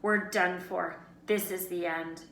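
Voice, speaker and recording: Storybook schoolboy, read by a woman: blunt, hot-headed, urgent, slightly raised pitch, echoey room. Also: fairly even intonation, slow pace, fairly bright tone, slight background noise. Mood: despairing.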